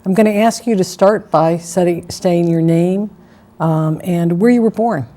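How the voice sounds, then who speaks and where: A woman conducts an oral history interview in a mellow, somewhat low voice. She chats easily with her guest and slips in gentle, teasing asides between her questions. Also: a little slow.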